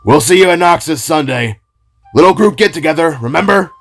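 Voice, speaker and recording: Man voicing a gravelly, cheerful bakery husband. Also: fairly high pitch, animated, normal speed, voice pushed up. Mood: friendly. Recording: music behind the voice.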